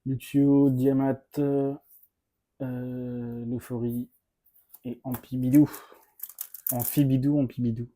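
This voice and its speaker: A man's voice: mid-range voice, flat delivery, takes it slowly, pauses often, mellow, slight background noise. A man co-hosting a casual card unboxing, playful and hesitant, laughing often. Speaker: shop co-host opening card packs.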